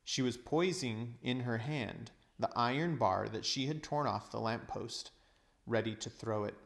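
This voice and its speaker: A man reading a children's novel aloud as narrator, measured and expressive. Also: medium pitch, vocal fry. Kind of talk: storybook narration.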